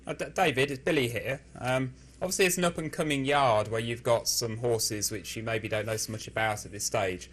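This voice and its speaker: Male sports interviewer, average pitch, informal, long-winded questions with restarts. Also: fairly quick, few pauses, fairly bright tone.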